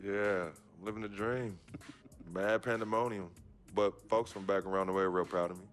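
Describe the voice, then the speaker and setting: Male actor playing a young basketball rookie from Harlem for a video game, relaxed, slangy, lowish voice. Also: normal speed, soft background music, natural voice. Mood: casual. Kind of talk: video game cutscene dialogue.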